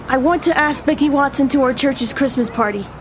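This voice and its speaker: Woman acting high-voiced anxious schoolboy. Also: fairly quick, background noise, natural voice. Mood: nervous, worried. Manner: earnest, hesitant. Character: anxious middle school boy confiding in his best friend. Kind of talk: family audio drama acting.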